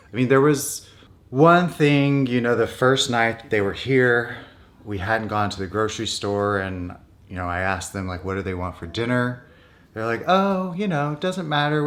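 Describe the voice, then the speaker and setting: A man's voice: medium pitch, fairly bright tone. A North American man vlogging in a casual, candid and conversational way about his own life. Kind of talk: casual personal vlog talk.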